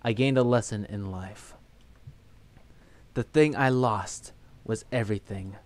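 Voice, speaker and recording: A young man hosting a game playthrough, chatting casually and reading the game's text aloud in a steady voice. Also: fairly low pitch, measured pace. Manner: reads text in a flat steady voice, breaks into laughter. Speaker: let's play host.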